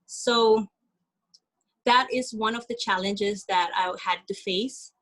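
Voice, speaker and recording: A woman's voice: medium pitch, a bit bright, low-quality recording. Earnest woman on a video call. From Philippines. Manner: earnest, halting with many ums. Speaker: guest speaker. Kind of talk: webinar presentation.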